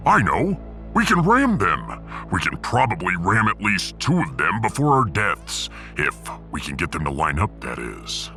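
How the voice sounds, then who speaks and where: A man performs a proud barbarian warrior aboard a starship, low-voiced and formal. He is stoic yet bellicose, with big swings of intonation and music underneath, in sci-fi radio-play style. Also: wildly swooping pitch, a little slow, heavily acted. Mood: proud, grim. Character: proud, battle-hungry barbarian warrior serving as ship's gunner. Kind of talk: sci-fi audiodrama acting.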